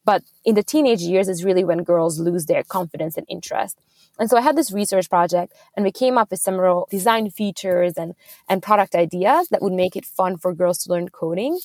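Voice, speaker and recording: A woman's voice: fairly low pitch, brisk, darkish tone, no echo. A young woman from Hungary, a startup founder, answering interview questions in an earnest, fluent way with small restarts.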